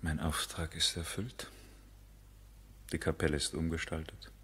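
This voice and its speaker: An earnest, quietly lovestruck gentleman in a period radio drama. His voice is extremely deep and thick with breath. He speaks in a hushed, formal and tender way. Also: little pitch movement, unhurried, breathy edge, exaggerated voice. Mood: formal, wistful. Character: courteous, earnest, quietly lovestruck male architect. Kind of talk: 1970s German radio drama acting.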